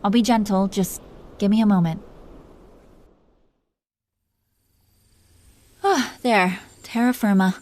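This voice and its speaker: Dragon queen , female performer: mellow, mid-pitched, soft and halting, playfully possessive and affectionate; intimate romantic audio roleplay. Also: natural voice. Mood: gentle, wistful.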